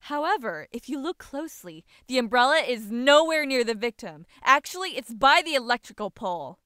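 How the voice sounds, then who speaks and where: A woman voicing a determined, confident young female defense lawyer, assertive and deliberate, in a courtroom fan dub. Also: a little high, sing-song, bright, forceful tone, smooth, no echo, clean studio sound. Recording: music behind the voice.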